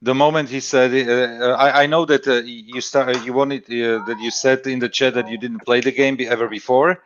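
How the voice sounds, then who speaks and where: A man chatting casually on a voice call, halting often with fillers and restarts. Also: medium pitch, steady tone, fairly bright tone, dull audio, slight background noise. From Slovakia. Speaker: stream chat participant. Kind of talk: casual chat.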